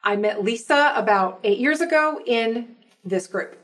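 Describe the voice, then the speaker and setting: A woman's voice: on the high side, expressive, a little slow, fairly bright tone. A middle-aged woman talking conversationally as a podcast guest, giving a personal account. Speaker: podcast guest, professional organizer.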